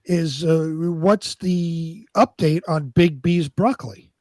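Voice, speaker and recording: A man's voice: on the high side, takes it slowly, darkish tone, dry recording, studio quality. A man on a radio panel, casually putting questions to the others.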